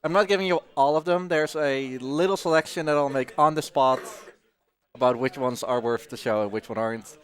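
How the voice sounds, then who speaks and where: A man's voice: mid-range voice, fairly quick. A man giving casual, joking live commentary as a speedrunner, chatty throughout.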